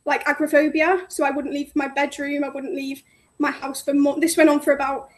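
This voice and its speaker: A bubbly, outgoing woman in her twenties, from North Wales, talking over the phone. Her voice sits high and bright, and her chat is friendly and easygoing. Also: level tone, a little fast. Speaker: guest, cleaning business owner. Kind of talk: casual interview chat. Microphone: phone call.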